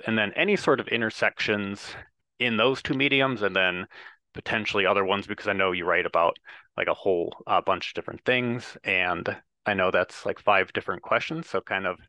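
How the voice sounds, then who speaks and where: A man's voice: on the low side, normal speed, sharp tone, no echo. A man hosting a podcast, asking long, rambling questions full of hedges and fillers. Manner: rambling, hedging, many fillers. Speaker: podcast host. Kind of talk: casual interview hosting.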